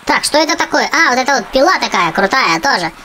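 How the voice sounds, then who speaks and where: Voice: sits high, sing-song, fast, pushed and bright, slight background noise. A gaming channel host giving casual, exclamatory gameplay commentary for children, addressing viewers as friends. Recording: music behind the voice.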